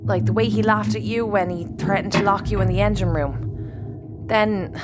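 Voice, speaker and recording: A woman playing a frank, troubled spaceship crew member in an audio drama, speaking informally and haltingly with an Irish accent. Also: mid-range voice, steady tone, loud music behind the voice, natural voice. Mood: troubled, confiding. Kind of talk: naturalistic sci-fi audio drama acting.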